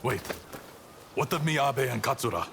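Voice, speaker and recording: An actor playing a hard-bitten warrior in a video game cutscene. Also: male voice, mid-range voice, expressive, normal speed, vocal fry, fairly bright tone, a little hiss or hum. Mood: concerned.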